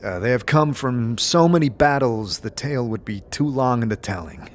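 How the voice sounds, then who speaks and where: A man playing a humble, battle-scarred wanderer in an audio drama, halting, tentative and polite. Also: mid-range voice, animated, a little hiss or hum, lightly acted. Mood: humble, uncertain. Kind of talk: fantasy audio drama acting.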